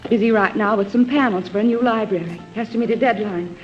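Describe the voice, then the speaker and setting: A woman's voice: mid-range voice, quick pace, faint music behind, lightly acted. An actress playing a self-doubting, wistful woman in a 1950s-style radio drama, hesitant, earnest and apologetic. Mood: flustered, apologetic. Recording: muffled old-time radio recording.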